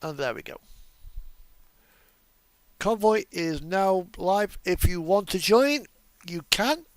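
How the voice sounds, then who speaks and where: A man's voice: high voice, lively intonation, slow, no echo. A man giving casual, unscripted game commentary as a livestream host, thinking aloud and muttering to himself hesitantly.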